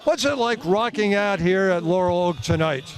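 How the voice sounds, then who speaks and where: A man's voice: high-pitched, normal speed, faint music behind. A man hosting a tennis show, asking upbeat questions at an outdoor tennis event. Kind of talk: on-location interviewer.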